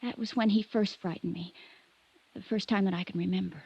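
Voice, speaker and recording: A woman's voice: medium pitch, steady tone, brisk, creaky, a little mellow, slightly rough. An actress playing a troubled, lovelorn young woman from a wealthy family in a 1950s radio drama, confiding wistfully and hesitantly. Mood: uneasy. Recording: muffled vintage radio recording.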